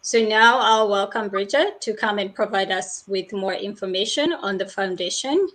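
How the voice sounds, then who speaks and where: A woman's voice: mid-range voice, normal speed, fairly bright tone. A woman hosting an online panel over a video call, introducing guests hesitantly with many fillers. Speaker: host.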